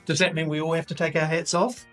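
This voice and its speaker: A man's voice: fairly high pitch, little pitch movement, quick pace, a bit bright, soft background music. A man with a New Zealand accent hosting a live street interview show, joking and rambling through his questions. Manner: teasing, rambling, jokey. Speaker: host. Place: bar in a city street.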